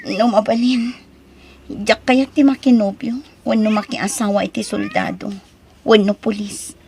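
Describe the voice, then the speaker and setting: A woman's voice: medium pitch, steady tone, unhurried, gravelly, a little mellow, a little hiss or hum. A woman with an Ilocano accent playing an affectionate young woman in love in an audio drama. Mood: worried, pleading. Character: affectionate young woman missing her cadet boyfriend. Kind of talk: Ilocano radio-style audio drama acting.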